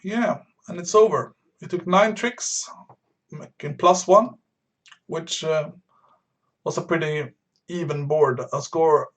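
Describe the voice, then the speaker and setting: A man from Sweden, streaming a bridge game and explaining his moves to beginners while thinking aloud with frequent pauses and fillers. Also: a little high. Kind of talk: live-stream commentary explaining card play.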